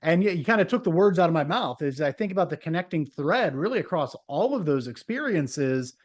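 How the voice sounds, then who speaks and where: A man's voice: fairly high pitch, lively intonation, a little fast. A man hosting a communication-skills podcast, introducing his guest and asking questions in an upbeat, polished way. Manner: upbeat, polished presenter delivery. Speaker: podcast host. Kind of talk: podcast interview.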